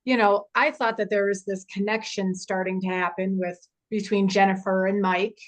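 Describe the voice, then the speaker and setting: A woman talking casually in a group discussion, animated and inclined to act out people's reactions. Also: mid-range voice, normal speed. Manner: animated, acts out imagined reactions. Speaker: table read participant.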